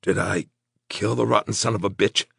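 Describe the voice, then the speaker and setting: A man voicing a wounded, groggy tough man in an audiobook, hoarse, mumbling and halting. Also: on the low side, steady tone, fairly bright tone, no echo, studio quality, voice pushed up, exaggerated voice. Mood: dazed, strained.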